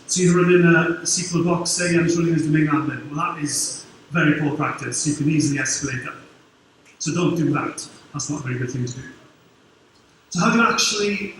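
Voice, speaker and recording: A middle-aged man from Wales with a Welsh accent, giving a quick, informal conference talk with dry humour from a lectern. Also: a little high, a little hiss or hum. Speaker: conference speaker. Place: large dark conference hall. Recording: very echoey hall, distant mic.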